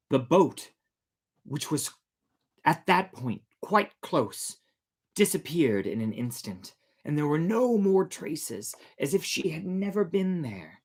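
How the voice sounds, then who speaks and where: Theatrical man narrating an old sea tale. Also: a little high. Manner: theatrical, deliberate, expressive. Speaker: storyteller. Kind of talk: dramatic scripted storybook narration.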